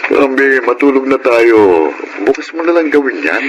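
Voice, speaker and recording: An actor playing an easygoing, unhelpful middle-aged husband in a Filipino radio drama, casual but quick to get defensive. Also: male voice, medium pitch, big pitch swings, dull audio, noisy background, close to an everyday voice. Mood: easygoing, dismissive.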